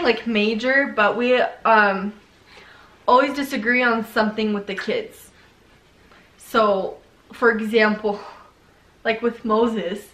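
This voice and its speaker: A woman's voice: a little high, a bit bright. A young woman from Ukraine chatting casually with her husband in a home vlog, laughing often. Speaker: vlogger. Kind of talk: casual couple Q&A chat. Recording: echoey room, faint noise.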